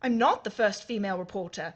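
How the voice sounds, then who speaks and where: A woman's voice: medium pitch, quick pace, lightly acted. An actress playing a haughty, fearless aristocratic lady reporter in a period audio drama, with a British accent and a wry, formally articulate manner.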